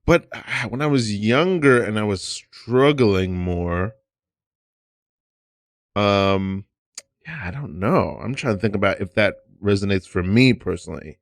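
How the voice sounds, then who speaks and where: A man's voice: fairly low pitch, animated, measured pace, no echo. A man in his late thirties hosting a podcast in a studio, chatting casually and rambling through restarts and asides. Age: 30s. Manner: rambling, restarts, plenty of "you know what I mean". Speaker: podcast host. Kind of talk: casual chat.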